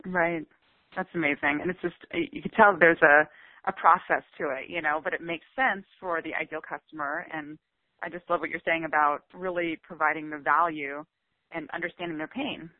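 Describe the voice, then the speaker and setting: A male host with a somewhat high voice warmly backs up his guest with quick affirmations. The audio is dry and thin like a phone call, with no room at all. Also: steady tone, no room sound. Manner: encouraging, brief affirmations. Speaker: podcast host. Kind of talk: interviewer chat.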